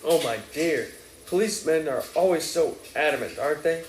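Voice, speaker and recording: A man's voice: a little high, moderate pace, echoey room, a little hiss or hum, close to an everyday voice. A man reading aloud and voicing a prissy, fussy young man who speaks in a snippy, dainty way.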